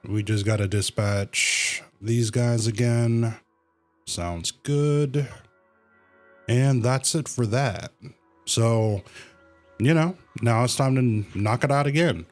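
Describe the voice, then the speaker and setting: A man livestreaming a video game with casual commentary, thinking aloud in a halting way. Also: mid-range voice, soft background music.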